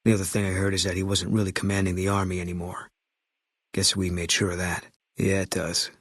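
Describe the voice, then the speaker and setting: A haunted ex-sniper companion in a video game, who talks in short, guarded sentences. His voice is very low and husky, recorded dry and close with no room around it. His delivery is flat and curt, like a soldier who would rather not talk. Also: a little fast, dry recording. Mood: grim. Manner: terse, clipped, flat and guarded. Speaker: companion character. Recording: dry studio sound.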